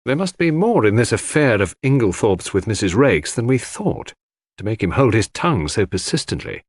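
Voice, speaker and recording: Man as an earnest gentleman sidekick, lively pitch. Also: normal speed, breathy edge, no room sound. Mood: consoling. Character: earnest, loyal gentleman sidekick, hearty and slightly slow.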